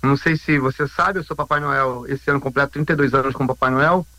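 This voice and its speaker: A man in his fifties with a Rio Brazilian accent gives an informal interview. His voice is bright and forceful at a medium pitch, recorded dry. He speaks haltingly, often repeating himself and checking in with tag questions. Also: fairly even intonation, no echo. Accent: Brazilian, Rio de Janeiro. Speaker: interview guest, volunteer rescue group founder.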